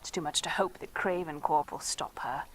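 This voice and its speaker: An actress playing a spirited, earnest fighter in a sci-fi war, speaking emotively in game dialogue. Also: female voice, low voice, moderate pace. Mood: worried. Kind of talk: dramatic game cutscene dialogue.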